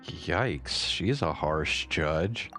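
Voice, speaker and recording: A man's voice: a bass voice, lively intonation, very slow, sharp tone. A man doing casual, wry game commentary as a streamer, muttering asides and reading dialogue aloud over game music.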